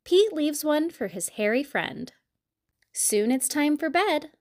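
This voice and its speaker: A woman reading a storybook aloud to young children in an expressive, deliberate storytelling manner. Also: sits high, no echo, studio quality. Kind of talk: scripted read-aloud narration for young children.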